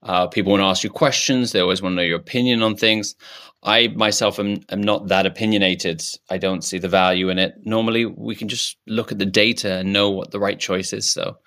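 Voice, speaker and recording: A man's voice: fairly low pitch, steady tone, a little fast, pushed and bright. A man playing a shy, stammering, evasive politician. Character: shy, opinionless male politician. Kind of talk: improvised comedy character.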